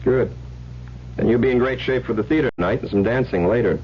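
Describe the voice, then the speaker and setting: An actor playing a breezy, affectionate husband and doctor in an old radio drama. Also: male voice, medium pitch, fairly quick, close to an everyday voice. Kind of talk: 1970s radio drama acting. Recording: muffled, noisy old radio recording.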